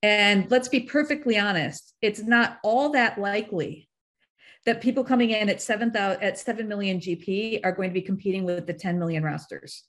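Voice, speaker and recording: A woman's voice: mid-range voice, moderate pace, a bit bright. Female podcast guest, mid-range voice, analytical and exact, conversational delivery. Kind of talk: analytical casual discussion.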